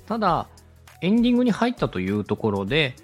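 A man's voice: on the high side, huge pitch swings, fast, slightly rough, soft background music. A man giving a polite, step-by-step explanatory talk as a podcast host and instructor. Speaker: podcast host and radio director teaching podcast making.

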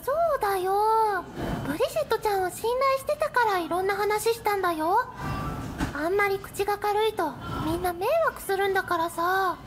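A woman playing an earnest, put-upon high school girl, mildly exasperated, in a radio drama that she also narrates. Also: normal speed. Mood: reproachful. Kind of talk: radio drama acting and first-person narration. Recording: music and crowd noise behind.

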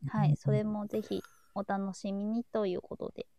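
A woman's voice: mid-range voice, level tone, moderate pace, mellow, no room sound. A woman co-hosting a casual live audio chat, talking in an agreeable way. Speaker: live show co-host.

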